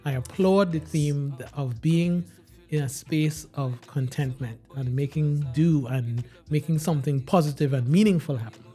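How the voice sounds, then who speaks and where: A man's voice: on the high side, normal speed, mellow, faint music behind. A man hosting a podcast in an earnest, upbeat way, laughing easily. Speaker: podcast host.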